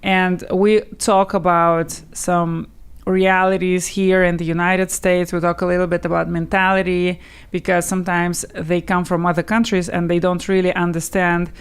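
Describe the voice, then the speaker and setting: A woman's voice: lowish voice, flat delivery, normal speed, smooth. A woman with a Russian accent, a guest in an interview, explaining earnestly in short stacked phrases and laughing often. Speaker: guest, English teacher. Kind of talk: casual interview talk.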